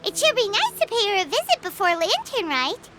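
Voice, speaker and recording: A tiny, childish fairy sidekick from a video game, squeaky and extremely high, with very lively swings in pitch. She is chirpy and carefree over loud music. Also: fairly quick. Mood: breezy, cheerful. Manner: squeaky, childish, refers to self in third person. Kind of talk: video game character acting.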